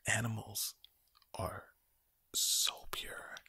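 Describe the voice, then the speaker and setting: A man giving a soothing ASMR pep talk in a low, gravelly whisper, close to the microphone, with deliberate, repetitive phrasing and a villain-like edge. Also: bright, forceful tone, no room sound. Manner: slow deliberate phrasing with repetition and rhetorical questions; villain-like, ominous sound. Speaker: ASMR video creator.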